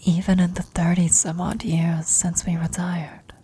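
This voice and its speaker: A woman playing a tender, serene elderly former adventurer on her deathbed in an ASMR roleplay, speaking in a reflective, breathy whisper. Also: lowish voice, level tone, measured pace, dark tone, a little hiss or hum, natural voice. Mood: proud, loving.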